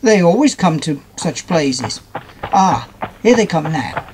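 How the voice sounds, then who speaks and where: A man's voice: fairly high pitch, very animated, slow pace, darkish tone, a little hiss or hum, rough recording. A man voicing a kindly, confident male toy pig, reassuring and chatty, in a children's storybook. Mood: informative.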